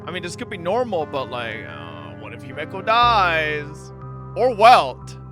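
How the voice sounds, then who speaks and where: A man's voice: on the high side, very animated, measured pace. A young man reacting casually to a game on stream, crude, sweary and joking. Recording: game music behind.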